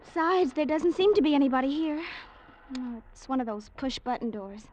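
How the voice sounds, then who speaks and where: An actress playing a playful, sweet young American bride-to-be with affectionate, teasing banter, in vintage radio drama style. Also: female voice, high-pitched, lively intonation, a little fast, darkish tone. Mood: breezy, insistent. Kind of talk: 1940s-50s radio drama acting. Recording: dull, band-limited vintage radio recording.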